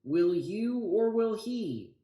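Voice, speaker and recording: A man voicing a frightened, pleading young grandson creature in a storybook, shouting urgently. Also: animated, takes it slowly. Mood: alarmed. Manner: urgent, high-pitched shouting. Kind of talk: storybook read-aloud. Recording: echoey room.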